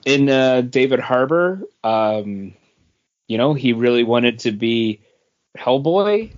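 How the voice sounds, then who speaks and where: A man's voice: medium pitch, animated, takes it slowly. A man co-hosting a podcast, chatting casually about entertainment news. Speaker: podcast co-host. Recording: dull, lo-fi podcast audio.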